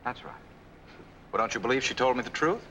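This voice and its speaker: An actor playing an earnest, lovestruck young American man, gentle and protective, in a 1940s Hollywood film. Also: medium pitch, lively intonation, brisk, slight background noise. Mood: earnest, smitten. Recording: old film soundtrack, music behind.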